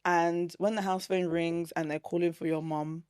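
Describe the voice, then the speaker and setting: A British-accented woman from Britain talks as a podcast guest in a slightly low voice. She explains her points in a measured, reflective way, agreeing readily and laughing softly. The sound is dry and close, without room echo. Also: flat delivery, smooth. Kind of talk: casual chat.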